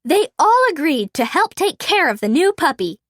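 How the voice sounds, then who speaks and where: Female storybook narrator: bright-pitched and very expressive, clear phrasing for little kids, dry sound. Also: moderate pace, dry recording. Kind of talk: children's read-aloud narration.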